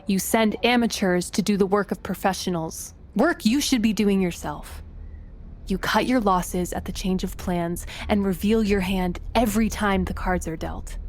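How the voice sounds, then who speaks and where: A woman's voice: mid-range voice, moderate pace, natural voice. A woman playing a cunning, vengeful con artist in a crime audio drama, composed and taunting. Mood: gloating.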